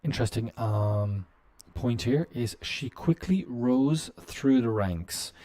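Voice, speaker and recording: Guy running a live english lesson, talks slow, lots of ums and uhs, mid pitch. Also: lively intonation, dark tone. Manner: explains slowly with many fillers. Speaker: English teacher. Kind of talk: live-stream language lesson.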